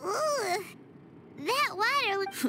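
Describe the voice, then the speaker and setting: An actress voicing a tiny, excitable floating fairy girl in a video game, squeaky, childlike and wide-eyed. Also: very slow, heavy background noise, full character voice. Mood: puzzled. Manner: exclamatory, third-person, wide-eyed.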